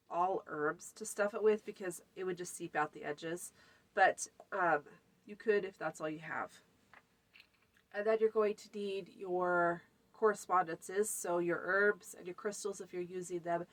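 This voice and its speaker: A woman hosting a folk magic channel, talking casually through a how-to with a stuffy, runny nose and lots of fillers. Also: fairly low pitch. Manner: chatty, many fillers, lists items. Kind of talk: casual spoken tutorial.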